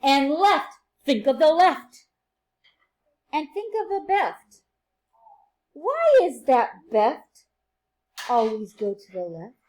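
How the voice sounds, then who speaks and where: A woman teacher reading a picture book aloud to children, playful and sing-song, with quieter chatty asides. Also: sits high, sing-song, slow, pauses often.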